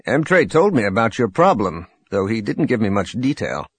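A man voicing a calm, sympathetic military colonel in an audiobook, quiet and measured. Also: fairly low pitch, slightly rough, no echo, full character voice.

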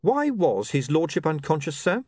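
An earnest young newspaperman, played by a male narrator in an audiobook. He is polite and deferential but will not give up, speaking in a high, lively voice that rises and falls a lot. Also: moderate pace, husky, dry recording, clean studio sound. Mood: persistent. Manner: deferential yet persistent.

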